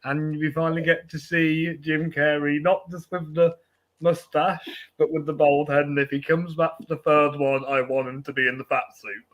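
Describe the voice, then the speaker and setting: A man hosting a film podcast, chatting casually and haltingly, with false starts along the way. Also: fairly high pitch, flat delivery, highs cut off.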